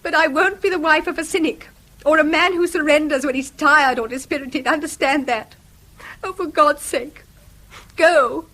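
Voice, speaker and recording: An actress playing an earnest, strained schoolmaster's wife in a British radio drama, with a proper English accent and emphatic delivery. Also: female voice, high voice, moderate pace, pushed and bright. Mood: fierce, desperate. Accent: English, received pronunciation. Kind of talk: mid-century British radio drama acting.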